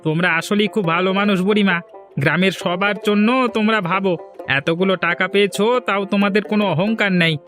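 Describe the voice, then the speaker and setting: A man's voice: high-pitched, fairly even intonation, fast, sharp tone, faint music behind. A man voicing a chatty, inquisitive village shopkeeper in a fairy-tale cartoon. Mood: admiring, gossipy.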